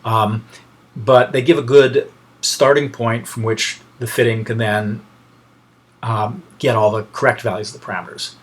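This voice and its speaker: A man's voice: mid-range voice, steady tone, normal speed. Man explaining technical steps, thinking aloud. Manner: hesitant, thinking aloud mid-sentence. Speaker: lecturer. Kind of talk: informal technical tutorial.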